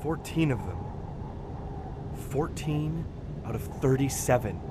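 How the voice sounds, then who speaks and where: An actor playing a weary, subdued survivor of a polar expedition in an audio drama. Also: male voice, mid-range voice, expressive, slow, noisy background. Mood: weary, uneasy.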